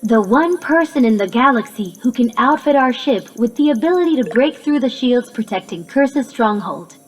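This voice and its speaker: A woman's voice: fairly high pitch, expressive, moderate pace, a little hiss or hum, natural voice. A woman playing a precise, matter-of-fact artificial intelligence companion in a video game cutscene, with pedantic, formal wording. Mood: informative.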